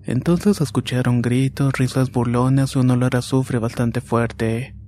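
Male horror narrator, dark and mellow timbre, monotone and steady, faint music bed. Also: mid-range voice, a little slow. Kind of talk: scripted horror story narration.

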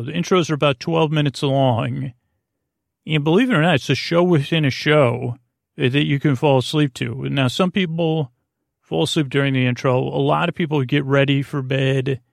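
Creaky-voiced man rambling softly for bedtime. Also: dry recording, studio quality. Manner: meandering, halting, trailing off, repeating himself; soothing, low-pressure. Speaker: podcast host. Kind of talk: rambling bedtime monologue to help listeners sleep.

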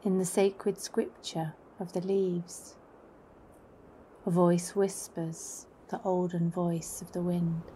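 A woman narrating a poetic, meditative guided journey in a hushed, solemn, unhurried manner. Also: lowish voice, flat delivery, a little hiss or hum.

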